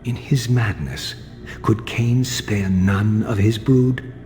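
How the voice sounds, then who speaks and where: An actor playing a vengeful undead male vampire wraith, speaking in a grim, sardonic, measured way for a video game. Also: fairly low pitch, a little mellow, faint music behind. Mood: brooding. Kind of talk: video game voice acting and narration.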